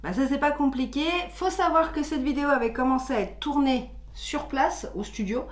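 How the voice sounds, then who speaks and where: A woman's voice: sits high, moderate pace. A woman, co-hosting a channel and explaining things patiently in casual chat. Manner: chatty, explains patiently with filler words. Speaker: co-host of a theme-park channel. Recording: echoey room.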